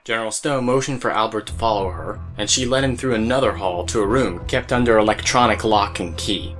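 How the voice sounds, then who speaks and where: A man's voice: lowish voice, a little fast, fairly bright tone, soft background music, rough recording. A man narrating an audio drama as storyteller, with casual asides to the listener.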